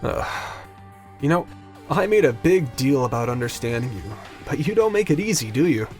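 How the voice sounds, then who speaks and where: A man's voice: mid-range voice, expressive, normal speed, faint music behind, close to an everyday voice. Man as young male friend, audio drama, mid-range voice, earnest, exasperated, intense.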